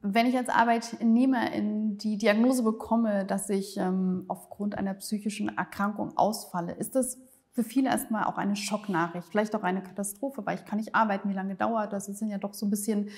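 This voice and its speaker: Woman, mid-pitched voice, interviewer, long careful questions, conversational. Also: fairly even intonation, moderate pace, a little mellow.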